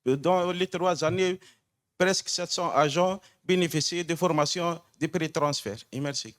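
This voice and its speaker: Halting Tunisian tax official, slightly high voice. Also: male voice, fairly bright tone. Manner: halting, technical, many fillers and restarts. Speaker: tax official asking a question. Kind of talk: audience question at a conference.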